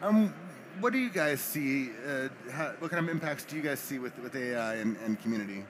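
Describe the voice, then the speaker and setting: A man moderating a conference panel discussion, easygoing and relaxed as he asks the guests questions. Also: medium pitch, brisk, background noise. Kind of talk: hosting a panel chat.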